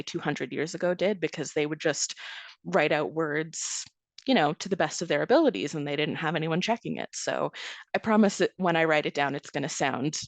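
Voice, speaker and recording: Gravelly-voiced woman chatting in an interview. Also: sits low, no echo. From Toronto area, Canada. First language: Serbian. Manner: chatty, laughs often, many restarts. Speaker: essayist guest. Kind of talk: casual interview answers.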